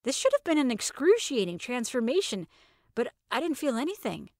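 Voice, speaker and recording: High, animated woman narrating fiction. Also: moderate pace, dry recording, clean studio sound. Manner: wry, expressive. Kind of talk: first-person dramatic story narration.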